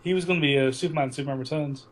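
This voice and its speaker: A young man co-hosting a casual film podcast, chatting in a halting way and repeating words as he goes. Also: a little high. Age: young adult. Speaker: podcast co-host. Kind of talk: casual chat about films. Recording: noisy amateur podcast recording.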